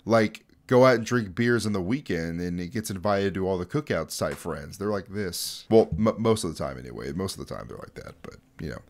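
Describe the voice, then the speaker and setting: A man's voice: sits low, expressive, brisk, few pauses. A young man giving casual video commentary in an informal, jokey way. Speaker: YouTube host explaining a horror series. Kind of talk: casual commentary with dramatic read-out passages.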